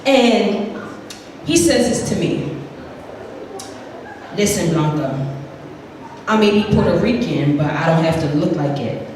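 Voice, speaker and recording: A woman playing a sassy Puerto Rican woman who tells a wry story about her brother, dropping low to mock his voice, in a stage monologue. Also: animated, a little slow, long pauses, darkish tone, slight background noise. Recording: roomy hall from far off.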